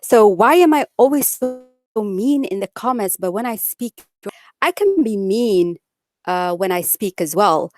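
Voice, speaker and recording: A woman's voice: mid-range voice, expressive, measured pace, darkish tone. A young woman with a Dutch accent, chatting bluntly with viewers on a live stream and reading out their comments. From Netherlands. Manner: blunt, outspoken, says it like it is.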